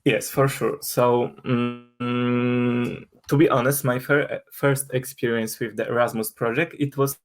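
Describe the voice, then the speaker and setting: A young man from Poland speaks English with a Polish accent, his first language being Polish. He talks in a casual interview, often restarting words and reaching for fillers. His voice sits at an ordinary middle pitch. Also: level tone, takes it slowly. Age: young adult. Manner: hesitant, many restarts and fillers. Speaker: guest.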